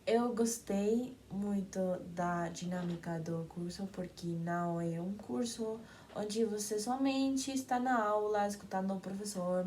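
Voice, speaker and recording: A young woman speaks slowly and hesitantly in Portuguese, often restarting words. Her voice is mellow and soft. A faint background hiss sits under it. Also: medium pitch. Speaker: university student. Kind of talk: class video talk.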